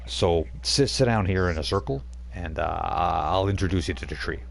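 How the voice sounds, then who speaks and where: A man's voice: low voice, moderate pace, creaky, slight background noise. A man voicing a jokey older male spirit guide, a reverend type, in a tabletop game session. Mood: laid-back.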